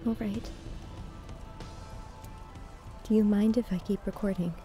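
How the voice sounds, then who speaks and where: An actress plays a wary woman alone in dark woods in a horror audio drama. Her voice is very soft and dark, hushed and hesitant, with faint music behind it. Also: medium pitch, fairly even intonation, fairly quick, lightly acted. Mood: cautious, concerned.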